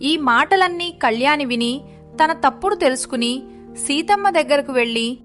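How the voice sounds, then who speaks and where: Narrator for children's cartoon: woman, high voice, scripted storytelling, faint music underneath. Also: lively intonation, moderate pace, fairly bright tone.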